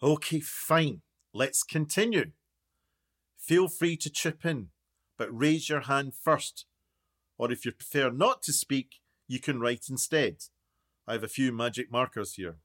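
A man playing a self-important, impatient documentary maker in a radio play, brisk and officious. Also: mid-range voice, lively intonation, fairly bright tone. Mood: businesslike. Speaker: documentary interviewer.

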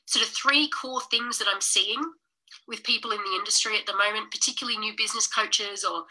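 A woman's voice: mid-range voice, fairly even intonation, a little fast, bright, forceful tone, rough recording. A woman hosting a business podcast live stream, talking casually and enthusiastically to her viewers, a little nasal and snuffly from a cold. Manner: enthusiastic, chatty, addresses viewers as guys. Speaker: podcast host and business coach.

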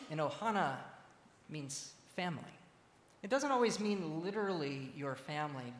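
A man preaching a sermon as a church minister, speaking in measured, deliberate phrases with pauses. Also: a little high, fairly bright tone. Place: large reverberant church interior. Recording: echoey, reverberant hall with faint noise.

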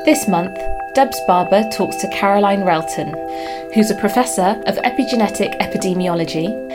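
A woman host reads a polished podcast introduction with crisp, even delivery. Loud music plays under her throughout, with a chime somewhere in the mix. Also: medium pitch, normal speed.

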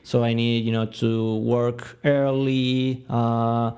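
A man's voice: medium pitch, completely flat delivery, takes it slowly, a little mellow, smooth. A man of twenty-nine from Argentina with a Spanish-speaking accent, giving reflective interview answers and often searching for words. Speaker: podcast guest.

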